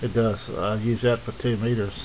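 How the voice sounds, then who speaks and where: A middle-aged or older man giving a casual, unscripted tour as a hobbyist, speaking in a halting, unhurried, plain way. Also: on the low side, flat delivery. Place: small home radio shack, indoors. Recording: dull lo-fi camera audio, faint noise.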